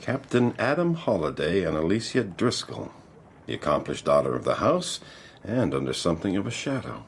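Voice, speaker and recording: An actor playing a skeptical, probing gentleman with a husky voice and a dry, teasing manner in a radio mystery. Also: low-pitched, sing-song, moderate pace, slight background noise. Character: skeptical, probing gentleman questioning a lady detective.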